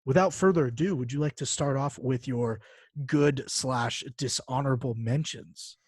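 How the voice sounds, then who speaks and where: Male podcast co-host, mid-pitched, informal and joking, recorded close and dry. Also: moderate pace, darkish tone, slightly rough, dry recording. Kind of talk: casual podcast chat.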